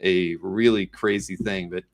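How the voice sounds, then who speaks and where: A man's voice: low-pitched, steady tone, measured pace. A man hosting a casual interview in a rambling, conversational way. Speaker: host of a comic art live show.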